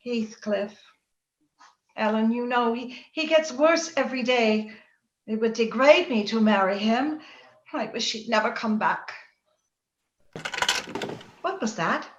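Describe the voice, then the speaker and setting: A woman playing a proud, willful young gentlewoman torn by romance, theatrical and emotional in old-time radio drama style. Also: fairly high pitch, slow pace, long pauses. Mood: wistful, torn. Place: indoor room, recorded remotely over video call. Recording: roomy, dull video-call recording.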